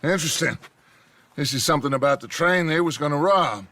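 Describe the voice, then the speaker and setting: An actor playing a commanding middle-aged American outlaw gang leader in a video game, theatrical and oratorical, bent on vengeance. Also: male voice, fairly high pitch, brisk, bright, forceful tone. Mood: smug, menacing. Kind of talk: dramatic video game acting.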